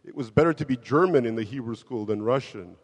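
A man born in the Soviet Union, a guest in an interview, telling stories about himself in a relaxed, self-mocking way with frequent laughs and restarts. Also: medium pitch, fast talker. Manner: self-deprecating, laughs often, many restarts. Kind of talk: relaxed conversational storytelling. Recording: long hall reverb.

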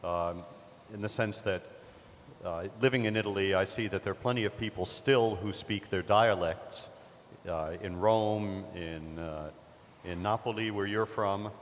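A man's voice: fairly low pitch, a little slow, slight background noise. A man moderating a panel discussion, asking probing questions and restarting his sentences with small stammers. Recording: echoey hall.

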